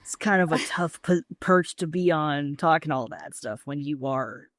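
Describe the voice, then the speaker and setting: A low-pitched woman co-hosts a casual recap podcast. Her delivery is informal, slangy and wry. The recording is dry and free of echo. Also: moderate pace. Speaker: podcast co-host.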